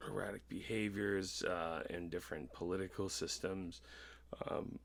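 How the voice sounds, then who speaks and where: A man in his thirties in casual podcast conversation, talking candidly in a halting, rambling way. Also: low-pitched, steady tone, vocal fry. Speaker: podcast host. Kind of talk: casual podcast chat about personal experience.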